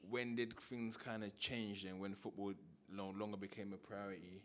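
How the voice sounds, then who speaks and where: A man's voice: fairly low pitch, moderate pace, slight background noise. A young man hosting a casual interview, asking questions with slang and the odd stammer. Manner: relaxed questioning with London slang and stutters. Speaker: interviewer and host.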